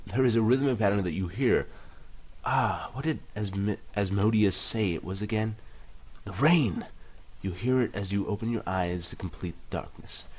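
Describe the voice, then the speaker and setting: A man's voice: on the low side, normal speed, darkish tone, slight background noise. A man hosting a let's play, casually reading game text aloud with some stumbles and commenting on it. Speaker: gaming video host.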